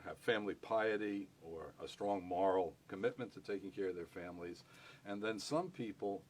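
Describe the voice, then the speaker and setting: A man's voice: low-pitched, normal speed. An older man hosting an interview, asking probing questions and restating his guests' points in plain terms. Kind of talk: conversational interview.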